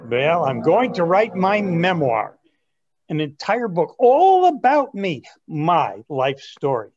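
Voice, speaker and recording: A man's voice: high-pitched, wildly swooping pitch, takes it slowly, a little mellow, dull audio, full character voice. A young man playing an earnest, self-absorbed twenty-something grandson in a reader's theatre comedy. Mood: eager, self-absorbed.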